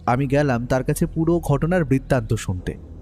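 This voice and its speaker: An actor playing a detective's curious, slightly anxious friend, telling the story expressively. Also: male voice, medium pitch, normal speed, warm tone, lightly acted. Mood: narrating. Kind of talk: dramatised first-person story narration. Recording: background music under the voice.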